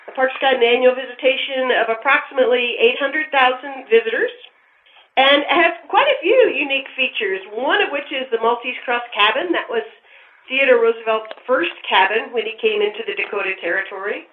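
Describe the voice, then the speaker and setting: A woman's voice: high voice, brisk. A woman giving formal, precise, prepared answers as an official in an interview. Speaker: park official being interviewed. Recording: thin phone-like line.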